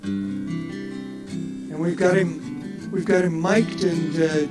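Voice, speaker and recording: A man's voice: on the high side, very animated, extremely slow, loud music behind the voice, rough recording. An older man emceeing from an outdoor festival stage, giving casual, rambling announcements with many fillers and jokes at his own expense.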